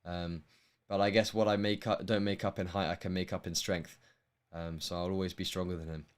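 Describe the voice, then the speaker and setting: Young man, posh London accent, lowish voice, rambling livestream chat, lots of ums. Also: flat delivery, fairly quick, clear, resonant tone. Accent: posh London English. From England. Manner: rambling, frequent ums and restarts. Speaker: tennis livestream host. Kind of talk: casual livestream chat answering viewer questions.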